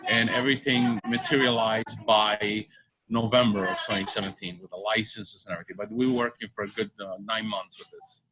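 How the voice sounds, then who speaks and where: A middle-aged man from Bolivia answering interview questions conversationally, halting and repeating his phrases. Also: lowish voice, steady tone, pushed and bright, poor audio. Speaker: restaurant co-owner. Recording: faint background ambience noise.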